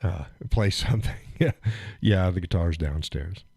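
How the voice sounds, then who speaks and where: A man hosting a live stream, chatting casually and rambling with fillers and chuckles, phlegmy and clearing his throat. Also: low-pitched, unhurried, darkish tone, no room sound, studio quality. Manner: rambling, chuckling, thinks aloud with many fillers. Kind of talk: casual chat reading viewer comments.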